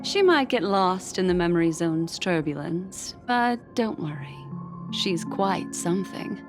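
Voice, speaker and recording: A woman's voice: lowish voice, expressive, unhurried, music in the background. A woman playing a poised, needling envoy in a video game, speaking in a theatrical, knowing, condescending way. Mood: knowing, composed. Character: poised, needling female envoy and exposer of lies.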